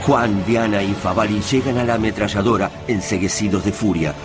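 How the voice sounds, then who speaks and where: A man's voice: lowish voice, fairly even intonation, brisk, natural voice. A man delivering solemn, literary narration for a radio drama. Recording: loud music and gunfire behind.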